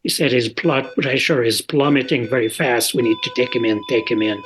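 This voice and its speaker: A middle-aged or older man recounts his own experience in unscripted, plain-spoken recollection, calm and halting. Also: mid-range voice. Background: soft guitar music.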